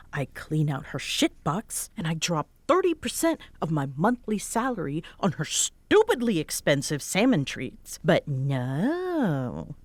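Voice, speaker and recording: A woman's voice: low-pitched, no echo, heavily acted. A young woman playing a grumpy, teasing tsundere roommate in an audio roleplay, with a sarcastic, huffy delivery. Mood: mock indignant. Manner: sarcastic, huffy, sighing exasperation with soft spots. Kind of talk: roleplay audio drama, conversational acting.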